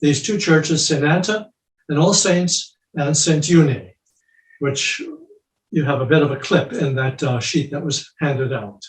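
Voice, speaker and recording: A man's voice: a little high, unhurried, roomy sound, distant mic, rough recording. A man on a church class video call, introducing a speaker with brief, matter-of-fact remarks. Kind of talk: casual talk on a video call.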